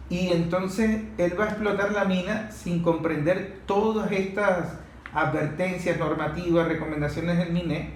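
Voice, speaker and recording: A Venezuelan man hosting an interview, putting questions in a conversational but opinionated and insistent way. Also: high-pitched. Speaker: interviewer, host. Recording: echoey room with faint noise.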